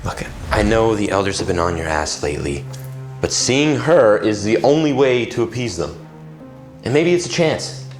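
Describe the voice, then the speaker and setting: Male actor as werewolf right-hand man for audio drama: young, fairly low, swinging inflection, easygoing persuader. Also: expressive, moderate pace, close to an everyday voice. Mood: persuasive, casual. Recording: faint music bed behind the voice.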